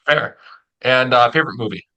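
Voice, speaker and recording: Male interviewer, middle-pitched with a bright, forward tone, relaxed conversational phrasing. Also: fairly quick, lo-fi sound. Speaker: interviewer, audio drama producer. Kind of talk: casual interview chat.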